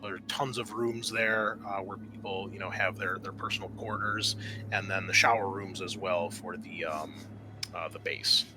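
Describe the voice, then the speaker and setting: A man narrating a tabletop roleplaying game as game master, explaining in a halting way. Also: low voice, steady tone, music in the background.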